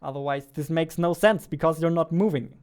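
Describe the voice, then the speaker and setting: Clear, higher-voiced young man, chatting playfully. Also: brisk, darkish tone. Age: 20s. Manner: playful, joking. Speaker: game developer streaming. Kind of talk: casual livestream chat while working. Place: indoors, small home room. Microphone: studio microphone on boom arm, headphones.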